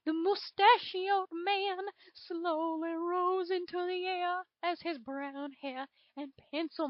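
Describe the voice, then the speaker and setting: Southern belle dragon played by a woman, extremely high voice, theatrical storybook narrator, dull lo-fi sound. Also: no room sound, full character voice. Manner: theatrical, drawn-out emphasis. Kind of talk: dramatic fan fiction reading. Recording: dull lo-fi home recording.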